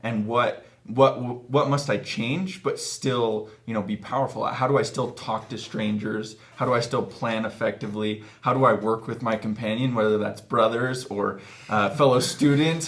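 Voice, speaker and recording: A man's voice: mid-range voice, moderate pace. A man in his twenties talking casually as an interview guest in a small meeting room. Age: 20s. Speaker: author and guest. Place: small office meeting room, seated at a table.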